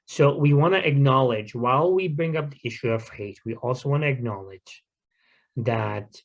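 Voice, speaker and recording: A man addressing a community gathering in an unscripted talk. His pitch sits in the ordinary middle range. He explains things in a careful, measured and earnest way. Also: creaky. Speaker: guest speaker at a community talk.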